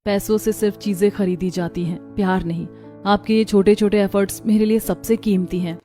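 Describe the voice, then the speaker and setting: A woman voicing a gentle, earnest young daughter-in-law in a cartoon, speaking politely. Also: medium pitch, fairly even intonation, normal speed, soft background music, natural voice. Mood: moved.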